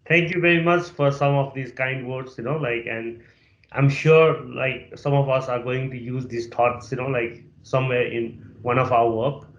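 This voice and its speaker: A man's voice: mid-range voice, fairly even intonation, normal speed. A male interview host asking polite questions, peppering his speech with "you know, like". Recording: echoey, dull lo-fi audio.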